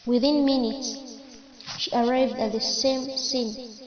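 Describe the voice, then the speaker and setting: A woman reading a children's story aloud slowly and carefully, with small slips, on a muffled recording with crowd noise behind. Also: high voice, little pitch movement. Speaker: storybook reader.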